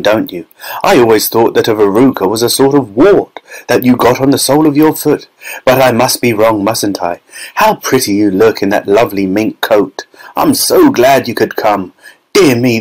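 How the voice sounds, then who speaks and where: A man voicing an excitable, effusive little factory owner in a storybook reading, with a fluty, fussy, exclamatory delivery. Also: mid-range voice, natural voice, rough recording.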